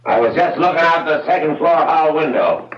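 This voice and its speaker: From away from the mic, in a roomy echo, comes an actor as a cop in a radio play. His voice is fairly high, watchful and businesslike as he gives orders. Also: lively intonation, moderate pace, close to an everyday voice. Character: watchful, businesslike male police sergeant giving orders. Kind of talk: old-time radio drama acting. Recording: distant, echoey, muffled old radio recording.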